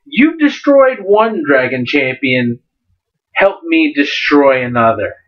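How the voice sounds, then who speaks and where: A man voicing a towering, jovial yet ferocious sea captain in a slow, declamatory storybook reading. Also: fairly high pitch, animated. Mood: urgent. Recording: dull lo-fi recording.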